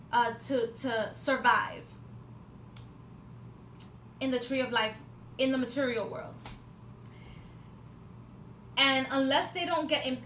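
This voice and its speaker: A woman's voice: sits high, sharp tone. A woman in her twenties vlogging, talking at length in a rambling, casual way, with many fillers and restarts and an occasional swear for emphasis. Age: 20s. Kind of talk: casual spiritual talk to camera. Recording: echoey room with faint background noise.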